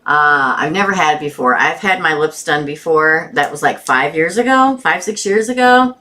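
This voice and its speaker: A woman's voice: low-pitched, expressive, normal speed, bright, forceful tone. A woman hosting a tarot live stream with a sore throat, chatting casually and greeting viewers by name.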